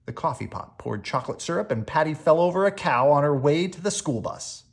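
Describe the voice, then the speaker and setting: A storyteller reading a children's book aloud in an expressive, animated way, leaning on words for dramatic emphasis. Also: medium pitch, brisk, gravelly. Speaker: storybook reader.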